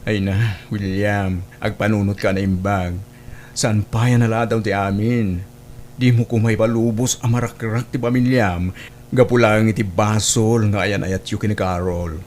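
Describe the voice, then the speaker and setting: A man's voice: fairly low pitch, steady tone, normal speed, a little mellow, slight background noise, close to an everyday voice. A man with a northern Philippine accent playing a sensible, admonishing friend in an audio drama. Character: concerned, level-headed friend giving frank moral advice.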